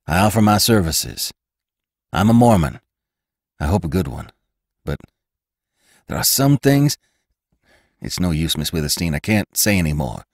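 A man's voice: deep voice, dry recording, clean studio sound, full character voice. A man voicing a gaunt, earnest ranch rider who speaks in an urgent, emphatic way.

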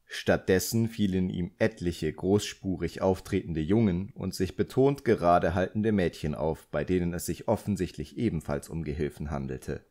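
A man's voice: low-pitched, dark tone. A man narrating a fantasy novel as a scripted audiobook.

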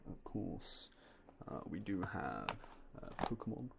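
A young man whispering softly and politely as a game shop clerk in an ASMR roleplay, close to a binaural mic. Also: sits low, big pitch swings, slow pace, gravelly, heavy background noise. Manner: quiet, whispery, polite shopkeeper patter.

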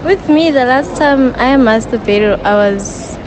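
A woman's voice: high-pitched, a little slow, crowd noise. A woman answering street-interview questions frankly, outdoors at a school. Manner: frank, matter-of-fact. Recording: music behind.

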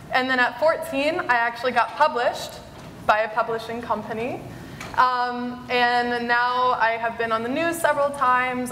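A woman's voice: a little high, a bit bright, slight background noise. A teenage girl, a panelist on a conference stage, introducing herself in a chatty, upbeat way. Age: teens. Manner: chatty, upbeat, laughs often. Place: conference stage in a large hall. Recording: echoey hall recording.

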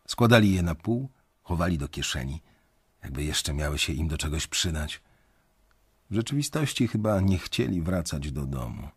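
A young male banker telling his own story in a radio play. The voice is low and husky. He narrates reflectively, in measured literary sentences. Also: full character voice. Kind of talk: scripted first-person radio drama narration.

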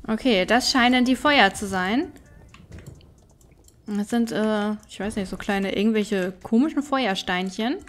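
A woman's voice: medium pitch, a little slow, soft background music. A woman giving casual let's play commentary as she plays a game. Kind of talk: casual game commentary, reading game dialogue aloud.

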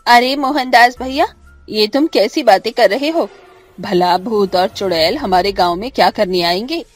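A woman's voice: mid-range voice, normal speed, faint music behind, close to an everyday voice. Woman as a scolding, skeptical cartoon villager.

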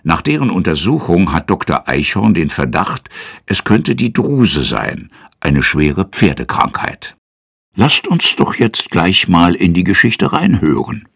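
Deep gravelly male storyteller. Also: very animated, measured pace, a bit bright. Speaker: narrator and presenter. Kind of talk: scripted storytelling narration for children.

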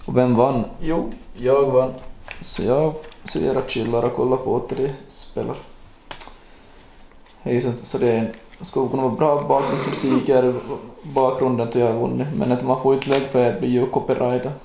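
A man's voice: mid-range voice, fairly even intonation, vocal fry, mellow, a little hiss or hum. A young man, chatting casually and rambling in a vlog, filmed on a handheld camera. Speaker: vlogger.

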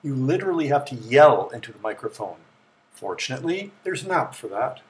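A middle-aged man giving a measured, matter-of-fact scripted explanation as the presenter of a technical tour. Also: medium pitch, big pitch swings. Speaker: presenter of a vintage electronics tour.

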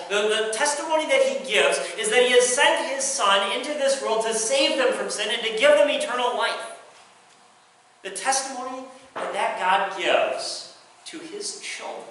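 Bright-voiced young pastor preaching. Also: male voice, very high-pitched. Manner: earnest preaching with rhetorical repetition. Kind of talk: sermon. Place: large church sanctuary. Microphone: pulpit microphone, filmed from far below. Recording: very echoey church from far off.

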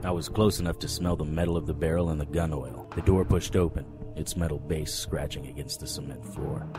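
A man's voice: very deep voice, steady tone, brisk, music in the background. An actor playing a hard-boiled, wisecracking private detective, narrating a noir radio drama in a wry, tough-guy manner. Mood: wry narration.

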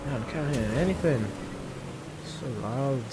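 A man's voice: medium pitch, lively intonation, normal speed, very noisy. A man gamer chatting casually while he plays an online game. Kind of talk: casual gameplay chat.

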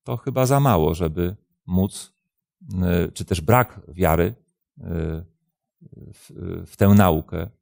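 Man, fifties, pastor, very slow delivery, gravelly fry, deliberate, hesitant pauses, studio talk. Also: deep voice, a little mellow, no echo. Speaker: pastor and programme host. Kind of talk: answering viewers' questions on religion. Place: indoor studio, seated at a desk.

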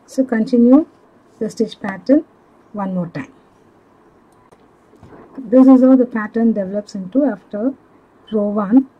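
A woman giving step-by-step craft instructions in a measured, halting manner, as a tutorial instructor. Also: a little high, mellow, dull audio. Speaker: crochet instructor.

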